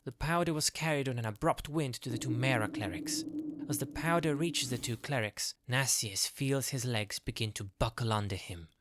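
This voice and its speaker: A man's voice: medium pitch, normal speed, noisy background. A man narrating a fantasy audio drama from a script, recorded on a close studio mic. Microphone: close, dry studio mic.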